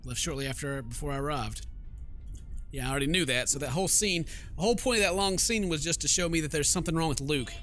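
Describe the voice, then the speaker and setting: Bright-voiced man doing sarcastic game commentary. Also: on the high side, quick pace. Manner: sarcastic, mocking asides. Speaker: gaming video host, let's player. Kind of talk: casual game commentary mixed with reading narration aloud. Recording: game music under the voice.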